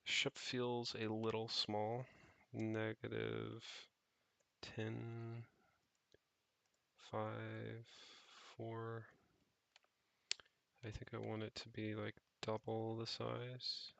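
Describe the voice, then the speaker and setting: Low, creaky-voiced man thinking aloud. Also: completely flat delivery, drawn-out words, pauses often, fairly bright tone, no room sound. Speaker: programmer streaming own project. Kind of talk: casual think-aloud coding commentary.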